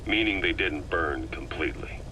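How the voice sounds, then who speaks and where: An actor playing a stern, calm middle-aged military interrogator in a video game, terse and probing. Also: male voice, a bass voice, moderate pace. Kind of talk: scripted video game dialogue. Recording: cassette tape with faint noise.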